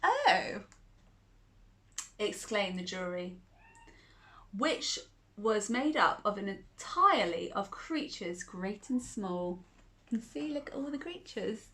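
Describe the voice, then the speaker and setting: Woman storyteller, young adult, reads a picture book slowly, chuckles, bright clear tone. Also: mid-range voice, lively intonation. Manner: chuckling often, asking the listener questions. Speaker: children's storyteller. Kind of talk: reading a picture book aloud to children.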